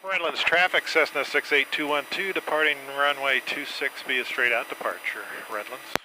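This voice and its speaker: Man narrating over loud cockpit noise. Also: a little high, moderate pace. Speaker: private pilot narrating his own flight. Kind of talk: casual running commentary and radio calls. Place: small aircraft cockpit in flight. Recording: loud small-plane engine and cockpit noise.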